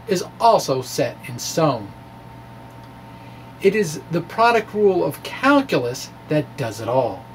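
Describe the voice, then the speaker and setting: Male, middle-aged, higher register. Theatrical science-blog reader, emphatic, ad-libs. Slightly noisy home recording. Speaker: science video blogger reading his own blog aloud. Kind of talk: dramatic reading with ad-libbed asides. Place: small indoor room with bookshelf. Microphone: microphone on stand in front of him.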